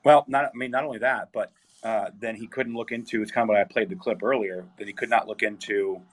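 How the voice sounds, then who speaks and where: A man hosting a live audio chat room, his voice weak and hoarse after being sick, talking haltingly with fillers and restarts. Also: on the low side, fairly even intonation. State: voice weak, recovering from illness.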